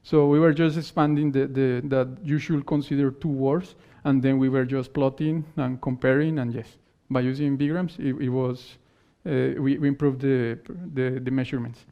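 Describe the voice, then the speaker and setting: A man whose first language is not English, giving a technical conference talk and answering questions in halting phrases that he often restarts. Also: mid-range voice, steady tone. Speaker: conference speaker. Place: conference hall stage. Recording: dull lo-fi conference recording.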